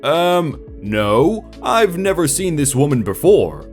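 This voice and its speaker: Male narrator voicing a smug customer faking an American accent, pitched up. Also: big pitch swings, slow pace, soft background music. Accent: put-on American.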